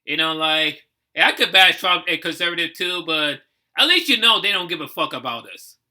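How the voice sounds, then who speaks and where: A man's voice: a little high, animated, moderate pace, bright, forceful tone. A man in his thirties from the United States giving outspoken, repetitive, sweary commentary in a casual reaction video. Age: 30s.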